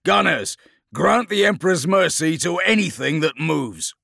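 Man acting a fierce army captain barking orders, raised pitch. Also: lively intonation, unhurried, gravelly, fairly bright tone, no room sound, clean studio sound, heavily acted. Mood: commanding. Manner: barked, clipped military orders. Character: fierce, commanding army tank captain in battle. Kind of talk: dramatic audiobook character acting.

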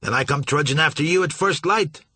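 A stubborn riverboat captain, as a narrator voices him barks blunt orders in folksy speech. The voice is husky and mid-pitched. It sits dry and close. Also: male voice, a little fast, pushed and bright, no echo.

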